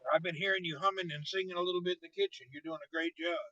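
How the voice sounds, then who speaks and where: A man's voice: high voice, steady tone, rapid-fire, sharp tone. A man from Tennessee hosting a live stream in an upbeat way and greeting viewers by name. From Tennessee, USA. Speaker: live-stream show host. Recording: dull lo-fi stream audio.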